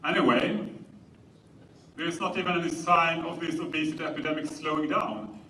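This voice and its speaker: A man with a Swedish accent giving a public lecture in a measured, explanatory manner. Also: on the high side, fairly even intonation, pushed and bright. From Sweden. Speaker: conference speaker. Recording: roomy, distant mic, faint noise.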